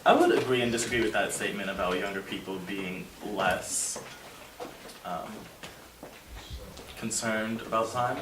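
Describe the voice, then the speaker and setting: A man's voice: fairly low pitch, animated, a little slow, pauses often. A man in his twenties speaking earnestly in a casual group discussion, rambling and using many fillers. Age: 20s. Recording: echoey room, distant mic, faint noise.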